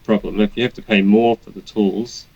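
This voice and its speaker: A man's voice: on the low side, steady tone, moderate pace, mellow, no room sound. A man in his thirties from New Zealand, co-hosting a podcast and explaining things in a matter-of-fact way. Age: 30s. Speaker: podcast co-host. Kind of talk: casual chat. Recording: dull, dry sound.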